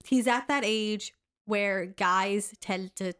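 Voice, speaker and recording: A woman's voice: medium pitch, takes it slowly, a bit bright, clean tone. A woman co-hosting a podcast in casual chat, sounding congested and rambling with lots of fillers. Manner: chatty, rambling with many fillers and restarts. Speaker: podcast co-host.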